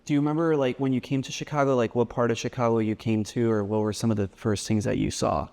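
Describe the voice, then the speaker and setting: A man hosting a casual interview, with a gravelly edge of fry running through his mid-pitched voice. He asks loose, friendly questions and drops "like" often. He nods along with short murmurs. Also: flat delivery, fast, darkish tone, long reverb tail. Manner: friendly, uses "like" a lot, lots of backchannel mm-hmms. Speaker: podcast host. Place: guest's home.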